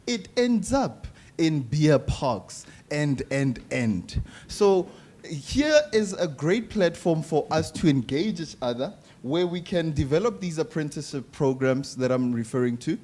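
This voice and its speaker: A young man speaking for the youth on a panel, earnest and measured. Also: fairly high pitch, a little mellow. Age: young adult. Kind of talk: panel discussion.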